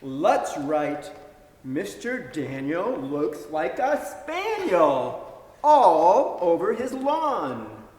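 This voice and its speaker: A man's voice: fairly high pitch, very animated, very slow, a little mellow, heavily acted. A man doing a boastful boy in a big hall. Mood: mischievous. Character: boastful young boy playing in snow. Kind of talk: staged dramatic reading. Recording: very echoey hall.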